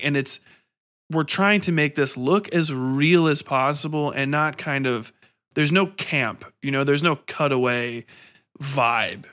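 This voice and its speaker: Male podcast host, mid-range pitch, informal and discursive, thinks out loud in loose conversational interview. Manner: rambling, informal, thinking aloud. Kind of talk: casual conversational interview about horror fiction.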